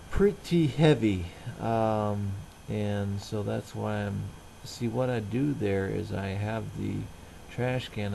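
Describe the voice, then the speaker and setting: A middle-aged man speaks very slowly, in a somewhat low voice with a heavy creak. He rambles and halts as he casually narrates everyday chores, sounding plain-spoken, with faint ambient noise behind him. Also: dark tone, low-quality recording. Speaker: vlogger.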